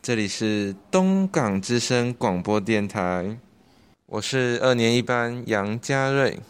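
A teenage boy serves as a student radio announcer. He reads a scripted programme introduction in a mid-pitched voice. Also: lively intonation, slow pace, clear, resonant tone.

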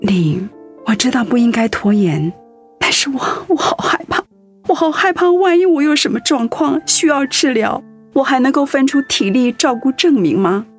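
An actress playing a devoted, anxious wife in a radio drama, speaking tenderly and earnestly with feeling. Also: female voice, high voice, lively intonation, normal speed, breathy edge, soft background music. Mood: afraid. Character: devoted, anxious wife caring for her sick husband.

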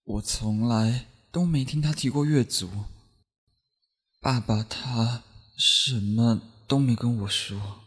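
A man's voice: mid-range voice, fairly even intonation, takes it slowly, slightly rough. An actor playing a guarded, bewildered young half-blood man in a Chinese audio drama, with terse, wary delivery. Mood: stunned.

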